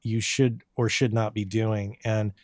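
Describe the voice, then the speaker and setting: A man's voice: fairly low pitch, little pitch movement, measured pace, darkish tone, dry recording, clean studio sound. A man from southern New Mexico hosting a podcast interview, friendly and conversational, often repeating his words as he goes. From southern New Mexico, USA. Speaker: podcast host. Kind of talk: casual interview chat.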